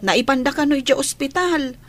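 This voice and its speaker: High-voiced gentle schoolgirl friend, acted by a woman. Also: a little fast. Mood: concerned. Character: caring young schoolgirl, gentle and sympathetic friend. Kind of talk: Ilocano radio drama acting.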